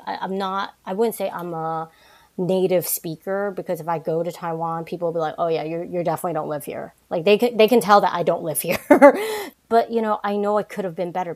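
A young woman with a slightly low voice chats as a podcast guest, stumbling over restarts and tossing in "like" as she thinks aloud. She grew up in New York and talks in an animated, conversational way. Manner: frequent restarts and "like", animated asides. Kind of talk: casual interview conversation.

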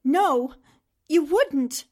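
A woman playing a defiant, sharp-witted young newspaperwoman held captive, speaking firmly and dramatically in old-time radio drama style. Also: high-pitched, expressive, extremely slow, exaggerated voice. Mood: anguished, bitter.

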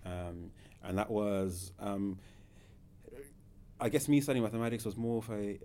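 A man's voice: on the low side, steady tone, measured pace, dark tone, a little hiss or hum. A man in his thirties from Zimbabwe, a podcast guest who talks hesitantly with many fillers and restarts. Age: 30s. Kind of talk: casual interview.

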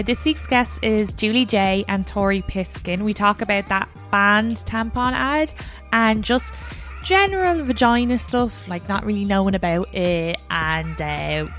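An Irish woman in her thirties hosting a podcast, chatting casually and laughing often. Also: medium pitch. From Ireland. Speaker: podcast host. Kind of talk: casual chat.

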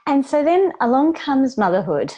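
Mellow-voiced woman host interviewing. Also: high voice, sing-song, moderate pace, no echo. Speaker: podcast host. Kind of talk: interviewing a guest.

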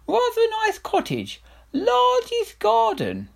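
A man voicing a vain, skittish king who speaks in an airy, evasive, hurried way. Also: extremely high pitch, huge pitch swings, creaky, voice pushed up, heavily acted. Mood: airy, nervous.

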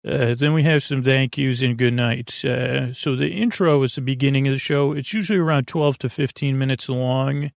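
A middle-aged man with a gravelly voice hosting a bedtime podcast, talking in a halting, meandering monologue that keeps interrupting itself. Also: medium pitch, dry recording, clean studio sound. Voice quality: gravelly, creaky. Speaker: podcast host.

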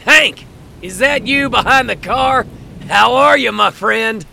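Male actor as older male villain, very gravelly, sharp, taunting, falsely friendly, crime audio drama. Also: extremely high pitch, slow pace, slight background noise, full character voice. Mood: mock-friendly. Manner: taunting, mock-affectionate. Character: older menacing crime boss, mockingly friendly and ruthless.

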